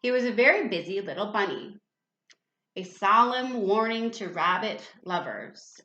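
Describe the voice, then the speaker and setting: A woman's voice: medium pitch, expressive, measured pace, bright, forceful tone. A woman reading a children's storybook aloud, expressively, with little asides and questions to young listeners. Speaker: teacher reading a picture book aloud. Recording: echoey room, slightly dull sound.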